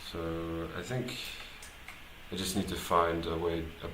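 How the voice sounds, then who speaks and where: A man thinking aloud as he live-streams his programming work, talking casually and haltingly, muttering to himself as he goes. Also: deep voice, level tone. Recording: roomy echo, clear background noise, typing.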